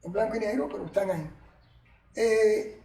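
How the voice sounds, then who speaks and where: An older Cuban man with a strikingly high voice, telling stories in an animated, colloquial way full of asides. He sits back from the mic in an echoey room, with a faint noise underneath. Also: moderate pace, distant mic. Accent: Cuban Spanish. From Cuba. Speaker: martial arts master, interview guest. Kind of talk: reminiscing storytelling.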